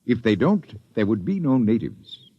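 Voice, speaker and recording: An actor playing an apologetic older ship's doctor in a 1950s radio drama, husky, hesitant and deferential. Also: male voice, mid-range voice, sing-song, measured pace, dry recording. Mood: grave, contrite. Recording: dull, dry vintage radio recording.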